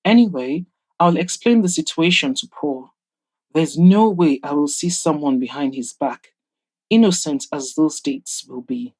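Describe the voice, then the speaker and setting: A woman's voice: low-pitched, unhurried, a little mellow, husky, full character voice, low-quality recording. A woman voicing a proud, guarded woman in an audio drama, speaking in pointed, formal, exasperated phrases. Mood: defensive. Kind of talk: audio drama character dialogue.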